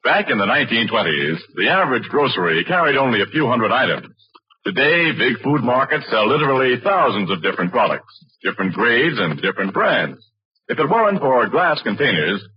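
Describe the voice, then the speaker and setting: A man's voice: mid-range voice, very animated, a little fast, breathy edge. A man delivering a scripted 1940s radio advertisement as commercial announcer. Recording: muffled restored old radio recording.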